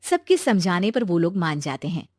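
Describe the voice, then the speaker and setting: A woman narrating a cartoon moral story in a steady storytelling manner. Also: lowish voice, normal speed, no echo, studio quality.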